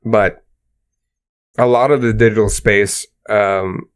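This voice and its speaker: A low-voiced man co-hosting a casual podcast. His voice is smooth and clear, with a lisp on some sounds. He talks in an informal, conversational way. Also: steady tone, slow pace. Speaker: podcast co-host.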